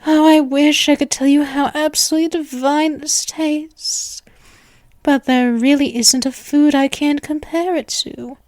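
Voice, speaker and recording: A woman's voice: high voice, steady tone, measured pace, a little mellow, no echo. A woman voicing an obsessive, doting, gleefully morbid young vampire in an intimate, theatrical ASMR roleplay monologue. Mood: delighted, gushing. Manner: gushing, theatrical, breathy asides, lovestruck.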